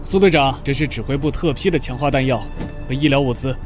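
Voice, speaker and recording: An actor playing a cowardly supply soldier in an animated drama, ingratiating, evasive and easily flustered. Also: male voice, medium pitch, fast, lightly acted. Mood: ingratiating, uneasy. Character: shifty, cowardly male supply-convoy soldier. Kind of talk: dramatic dubbed animated-comic dialogue. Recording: loud music behind the voice.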